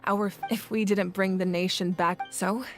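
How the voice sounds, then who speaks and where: A woman voicing a composed, commanding game heroine who leads a nation, speaking in a measured, solemn, authoritative way. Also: medium pitch, steady tone, natural voice. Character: composed, commanding female Pyro Archon, solemn leader of a nation. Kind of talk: dramatic game voice acting. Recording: music under the voice. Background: background music.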